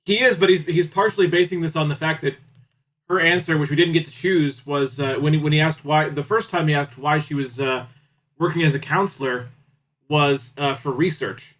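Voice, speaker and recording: Higher-pitched man, playful livestream banter. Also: normal speed, fairly bright tone. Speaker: livestream host reading out game and chat choices. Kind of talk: casual stream chat and commentary on a visual novel.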